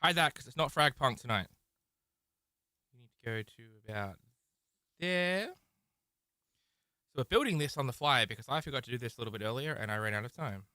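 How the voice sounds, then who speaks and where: A man's voice: mid-range voice, expressive, normal speed, pauses often, pushed and bright, dry recording, studio quality. A man hosting a casual game live stream, with a theatrical, showman-like way of talking.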